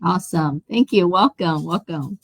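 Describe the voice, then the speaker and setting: A woman's voice: fairly low pitch, moderate pace, dry recording. A woman from Taiwan hosting a live online interview. She talks in a chatty way, with many fillers and restarts. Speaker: host.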